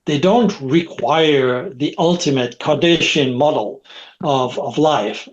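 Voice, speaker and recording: A man's voice: a little high, takes it slowly, highs cut off. Middle-aged French-native man, rambling guest. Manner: rambling, repeats words, frequent rhetorical "right?". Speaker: guest, technology expert. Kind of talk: conversational podcast interview. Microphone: video call.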